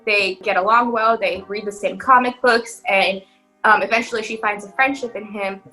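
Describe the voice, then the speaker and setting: A woman's voice: mid-range voice, steady tone, moderate pace, pushed and bright, soft background music, poor audio. A young woman co-hosting a podcast in a casual, informal and tentative conversational way. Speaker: podcast co-host.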